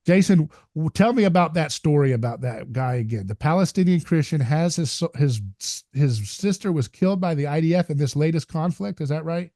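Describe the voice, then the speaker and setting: Host: man with a dark, warm voice, close and dry on the mic, earnest and emphatic delivery. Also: a little high, brisk, hardly any pauses, dry recording. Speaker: podcast host. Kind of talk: casual conversation.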